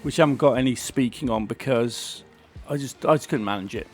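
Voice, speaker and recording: A man's voice: medium pitch, fairly quick, darkish tone, soft background music. A man with a sore throat, chatting casually as he plays and comments on a racing game. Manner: chatty, grumbling about the game. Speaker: gaming channel host.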